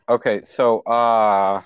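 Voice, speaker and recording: A man's voice: mid-range voice, clean tone, dry recording, highs cut off. A man in a casual online group chat who sounds exasperated and tired, keeps trying to get everyone back on track, and often sighs "Oh, Jesus.". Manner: exasperated, weary, tries to steer the talk. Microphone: computer mic on a voice call.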